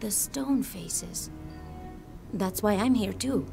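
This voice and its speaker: A woman's voice: medium pitch, takes it slowly, vocal fry, music in the background. An actress playing a confident, wry local woman researcher in video game dialogue.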